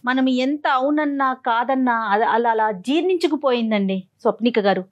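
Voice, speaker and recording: High-voiced woman host, slightly muffled. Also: normal speed. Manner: polite, mixes in English words. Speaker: TV programme host. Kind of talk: counselling talk show conversation.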